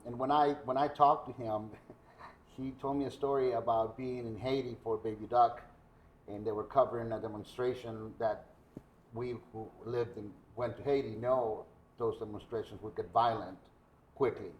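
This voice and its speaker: A man's voice: mid-range voice, steady tone, moderate pace, roomy sound, poor audio. A man from Guatemala hosting a podcast, chatting casually and telling anecdotes. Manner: conversational, tells anecdotes. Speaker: podcast host. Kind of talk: casual interview chat.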